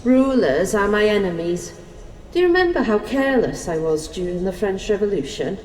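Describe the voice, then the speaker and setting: A woman's voice: medium pitch, sing-song, normal speed, darkish tone, lightly acted. An actress playing Life personified as a proud, hopeful woman, declaiming earnestly in a stage-like audio drama. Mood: confident. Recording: echoey hall, faint noise.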